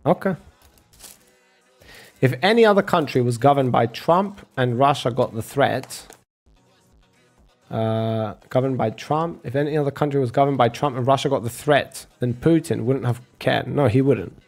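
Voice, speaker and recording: Sarcastic gravelly man ranting. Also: mid-range voice, moderate pace, soft background music. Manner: sarcastic, swears, calls listener bro. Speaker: streamer arguing with a chat viewer. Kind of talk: casual argumentative rant.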